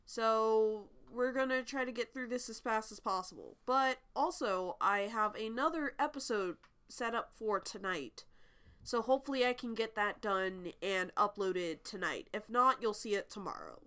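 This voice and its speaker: A woman's voice: a little high, moderate pace, a bit bright, clear, resonant tone. Congested young woman hosting a podcast. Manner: chatty with frequent ums, laughs between lines. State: congested from a cold. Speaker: podcast host. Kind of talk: casual true crime podcast update.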